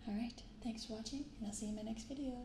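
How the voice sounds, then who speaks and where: Young woman doing soft asmr chat, lots of vocal fry, roomy echo w rain noise behind. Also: fairly high pitch, racing. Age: young adult. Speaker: ASMR card-opening vlogger. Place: small echoey room indoors, not soundproofed. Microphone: binaural microphone, close. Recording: echoey untreated room with rain noise. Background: rain, room ambience.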